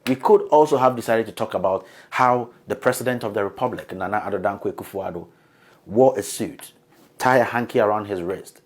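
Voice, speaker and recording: A hoarse man from Ghana, delivering a scripted political editorial in an emphatic, accusatory way. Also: on the low side, a little mellow. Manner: emphatic, accusatory, building in force. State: hoarse from flu. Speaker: political commentator.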